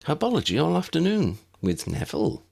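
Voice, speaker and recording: A man's voice: a little high, huge pitch swings, brisk, vocal fry, darkish tone, slightly rough, voice pushed up, exaggerated voice. A man voicing a friendly, eager, chatty schoolgirl in a storybook reading. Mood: bright, friendly.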